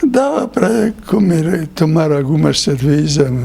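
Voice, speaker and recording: An older Italian-born priest, a man, telling his memories slowly in an interview, with small chuckles. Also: a little high, big pitch swings, creaky, warm tone, slight background noise. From Italy. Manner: slow storytelling with chuckles.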